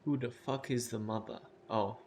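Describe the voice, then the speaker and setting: A man's voice: mid-range voice, steady tone, moderate pace, darkish tone, slight background noise. A man game streamer giving blunt, sweary, casual live reactions and chat.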